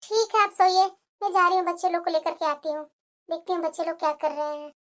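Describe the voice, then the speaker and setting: A woman's voice: very high-pitched, quick pace, a bit bright. A woman voicing an aggrieved, dutiful young daughter-in-law in a family cartoon drama, with a complaining, pleading manner. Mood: meek, apologetic. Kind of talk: Hindi family drama storytelling.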